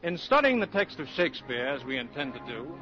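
An actor playing a brisk, self-assured male English professor with dry wit and articulate, formal delivery, in 1940s radio style. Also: a little high, sing-song, music in the background. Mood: wry, commanding. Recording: dull, noisy 1940s radio broadcast.

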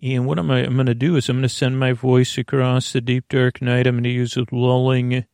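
A man hosting a bedtime podcast in a slow, creaky voice, wandering through gentle tangents and restarting his sentences. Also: mid-range voice, flat delivery, no echo, studio quality. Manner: slow, meandering, self-interrupting, gently joking. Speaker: sleep podcast host. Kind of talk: rambling bedtime monologue.

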